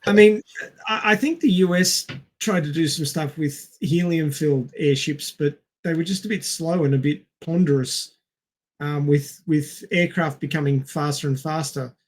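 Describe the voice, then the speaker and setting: Higher-pitched man, dry and understated. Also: normal speed. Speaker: podcast co-host or guest. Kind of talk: casual explanatory chat.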